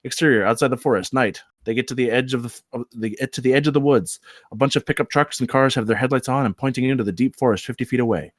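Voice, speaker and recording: A man's voice: mid-range voice, quick pace, no room sound, studio quality. A man narrating, reading screenplay action aloud at a table read. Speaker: script reader, narrator.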